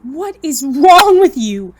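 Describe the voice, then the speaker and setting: Woman doing really high animated kid hero, audio drama, indignant, flustered, blurts stuff out. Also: slow, slight background noise, exaggerated voice. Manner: incredulous, blurting, stammering. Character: indignant, plucky young student hero, flustered and blunt. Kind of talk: scripted audio drama acting.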